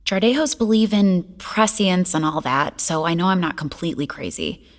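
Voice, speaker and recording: A woman's voice: fairly low pitch, animated, moderate pace, long reverb tail, close to an everyday voice. A woman playing an anxious, self-doubting young princess in an audio drama, speaking hesitantly and earnestly. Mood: earnest, defensive. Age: young adult.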